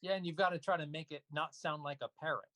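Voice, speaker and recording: A man's voice: fairly high pitch, fairly even intonation, fast talker, a bit bright, no echo. A man talking casually as an interview guest, explaining his craft thoughtfully and step by step. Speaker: guest audio dramatist.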